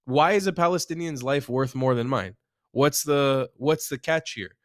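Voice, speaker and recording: A man's voice: mid-range voice, moderate pace, dry recording, clean studio sound. Passionate male journalist arguing his case. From Israel. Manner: passionate, argumentative, with rhetorical questions. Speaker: journalist guest. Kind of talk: casual interview chat.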